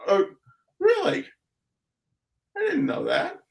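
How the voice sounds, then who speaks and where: A man's voice: extremely high pitch, huge pitch swings, slow pace, creaky. A middle-aged man hosting an art talk show over a video call, with an exuberant, showman-like way of talking. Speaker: host. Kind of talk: lively video-call interview. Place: home studio room, indoors.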